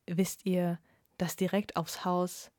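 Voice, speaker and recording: Woman reading a secretive man guest, low and hushed, conspiratorial. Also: fairly even intonation, brisk, no room sound, studio quality, natural voice.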